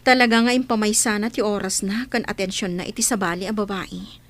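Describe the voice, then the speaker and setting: Woman as young mother, medium pitch, respectful, heartfelt, wistful, radio drama. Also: fairly even intonation, brisk, natural voice.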